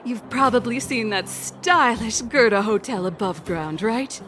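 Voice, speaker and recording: A woman's voice: a little high, lively intonation, measured pace, fairly bright tone, slight background noise. A woman playing an easygoing, hospitable hotel keeper in a video game, with a casual, folksy manner. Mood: welcoming. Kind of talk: English game voice acting.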